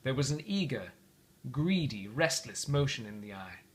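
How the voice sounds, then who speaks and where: Expressive, animated man reading a story aloud. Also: mid-range voice, normal speed. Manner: theatrical, expressive. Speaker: storybook reader. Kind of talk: dramatic read-aloud narration.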